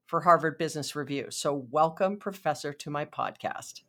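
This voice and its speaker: Woman, podcast host, lowish voice, chatty, enthusiastic, lots of fillers. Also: normal speed, fairly bright tone. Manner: chatty, many fillers and restarts. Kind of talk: podcast interview.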